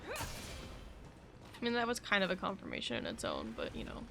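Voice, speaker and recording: A woman's voice: medium pitch, wildly swooping pitch, moderate pace, vocal fry. Woman streamer chatting casually over game music. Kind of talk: casual live gameplay commentary.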